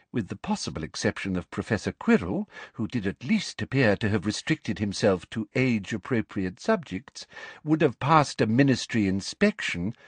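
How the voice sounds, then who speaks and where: A man voicing a prim, sugary, vindictive middle-aged female teacher in a smooth, condescending manner for a storybook. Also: medium pitch, no echo, pitched above the performer's own voice.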